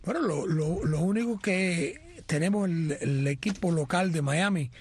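A man's voice: high voice, expressive, measured pace. A man co-hosting a radio sports show, chiming in eagerly and reading a segment. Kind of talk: radio talk show banter and scripted segment. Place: Miami radio studio.

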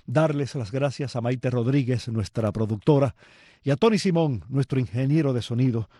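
A male radio host with a dark, husky voice talks in a friendly, chatty way. The studio sound is dry and close. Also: medium pitch, normal speed, no room sound. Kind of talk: radio interview.

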